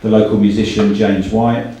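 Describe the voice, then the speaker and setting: A man's voice: on the low side, little pitch movement, moderate pace, mellow, slight background noise. A middle-aged man hosting an event, giving a thank-you speech and reading out names in a hall. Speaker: event host and company director. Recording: very echoey hall, distant from microphone.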